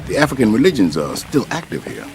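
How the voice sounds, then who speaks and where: A man's voice: mid-range voice, huge pitch swings, quick pace, creaky, background noise. An actor playing a genial, scholarly American expatriate in a radio drama, easygoing as he talks and explains. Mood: explaining, absorbed. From United States. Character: genial expatriate man, scholarly old friend. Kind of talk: naturalistic radio drama dialogue. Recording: radio play with music underneath.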